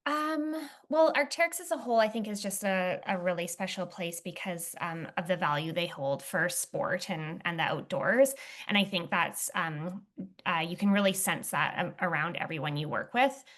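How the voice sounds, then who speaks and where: A young woman guest in an interview, talking casually with many fillers and restarts, her voice slightly hoarse. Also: fairly low pitch, moderate pace, a bit bright. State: slightly hoarse, clearing throat. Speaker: footwear testing lead, interview guest.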